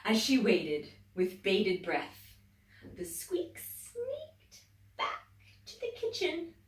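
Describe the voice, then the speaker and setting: A woman teacher reading a children's story aloud, expressive, dropping to whispers and rising to loud exclaims. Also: medium pitch, extremely slow. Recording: echoey room, distant mic.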